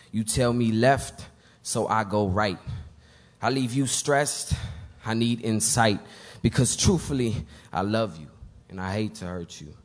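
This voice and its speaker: A man performing spoken-word poetry earnestly, in short rhythmic phrases. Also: on the low side. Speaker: slam poet.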